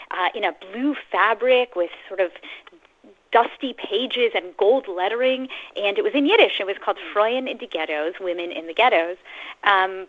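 A woman from Canada, an author guest on a radio interview, talking eagerly with frequent stammered restarts over a phone line. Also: medium pitch.

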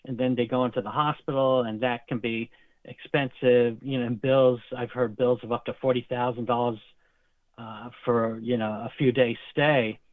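Brief: male healthcare guest, steady mid-pitched voice, explains medical terms carefully; thin phone-line sound, dry. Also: normal speed, dry recording. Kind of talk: interview explanation.

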